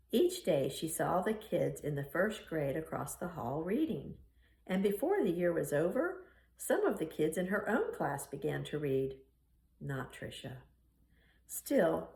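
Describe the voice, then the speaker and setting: A woman reading a children's picture book aloud in an expressive storytelling style. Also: lowish voice. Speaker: storybook reader. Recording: roomy, echoing room sound.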